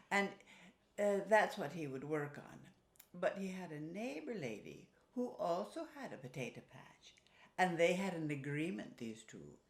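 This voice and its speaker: A woman telling a folk tale in a theatrical, folksy way with a put-on Irish accent and dramatic pauses. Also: fairly low pitch. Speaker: storyteller. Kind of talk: oral folk storytelling to viewers. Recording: roomy, echoing sound.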